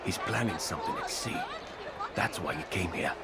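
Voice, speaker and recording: A man's voice: low-pitched, moderate pace. An actor playing an uneasy, overworked guard in a video game, confiding and defensive toward his superiors. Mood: uneasy muttering. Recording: loud street crowd around the voice.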